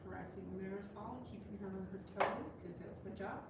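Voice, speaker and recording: A woman's voice: mid-range voice, fairly even intonation, brisk. An older woman in a crafting group, chatting casually, teasing and blunt in her opinions. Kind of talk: casual chat. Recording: echoey room with clear background noise.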